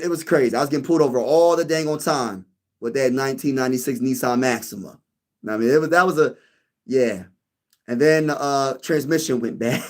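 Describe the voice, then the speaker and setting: Man, sports channel host, casual live-stream talk, rambling, repetitive, says bro. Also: mid-range voice. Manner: rambling, repeats phrases, says "bro" a lot.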